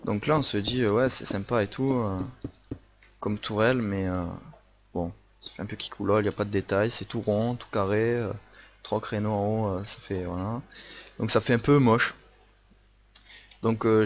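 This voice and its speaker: A man's voice: fairly low pitch, steady tone, moderate pace. Man with a Marseille accent. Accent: Marseille French. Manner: hesitant, many fillers and restarts. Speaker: Minecraft building tutorial host. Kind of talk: casual tutorial narration. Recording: faint noise and clicks.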